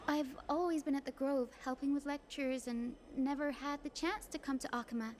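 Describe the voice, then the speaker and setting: An actress playing a gentle, modest young woman healer in a video game, speaking politely and earnestly. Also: sits high, fairly even intonation, normal speed, slight background noise, close to an everyday voice. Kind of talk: scripted game voice acting. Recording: music behind the voice. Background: background music.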